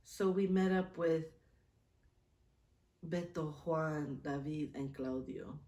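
Low-voiced woman in an echoey room. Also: slow pace. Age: late 30s. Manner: expressive, giggly, self-interrupting. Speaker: vlogger mom reading her old journal. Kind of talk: reading a diary aloud with casual asides. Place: small indoor room, seated at a table. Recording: roomy echo, distant mic.

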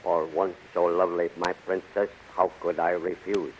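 A man's voice: low-pitched, little pitch movement, normal speed, natural voice. An actor playing a fawning, crooked henchman in a 1930s radio serial. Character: fawning outlaw henchman, bribable thief. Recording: muffled, very noisy 1930s radio recording.

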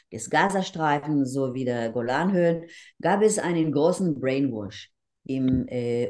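An older woman with a Hebrew background speaks into a laptop on a video call from home. Her voice sits low and sounds soft and mellow. She explains her points carefully, pausing and restarting often. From Israel. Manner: measured, with frequent hesitations and restarts. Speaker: interview guest. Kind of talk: earnest explanatory interview answers. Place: living room at home.

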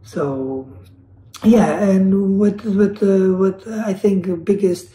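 A man's voice: high-pitched, slow pace, warm tone, roomy sound. A man from Kosovo, speaking as an artist on a panel over a video call, earnest and searching, often restarting and repeating words. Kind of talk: reflective panel talk.

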